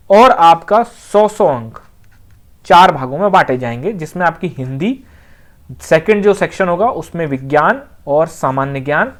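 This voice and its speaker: An exam coaching teacher, explaining things informally and conversationally, repeating points and often checking that students follow. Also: medium pitch. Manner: conversational, with frequent check-in phrases and repetition.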